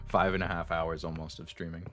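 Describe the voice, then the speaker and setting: A young man streaming with a sore throat, chatting with viewers in a relaxed, rambling way full of fillers. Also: sits low, moderate pace, soft background music. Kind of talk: casual chat with viewers.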